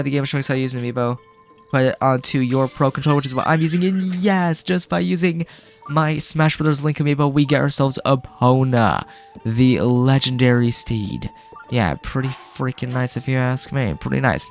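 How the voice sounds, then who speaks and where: A man hosting a let's-play, getting over a cold with a lingering cough, rambling casually with lots of fillers. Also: mid-range voice. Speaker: gaming video host.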